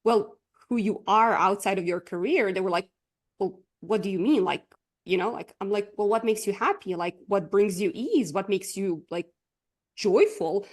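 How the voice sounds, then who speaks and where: A woman's voice: mid-range voice, moderate pace. A woman from Croatia, speaking English, chatting as a guest coach on a podcast with lots of fillers and frequent laughter. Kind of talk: casual interview chat.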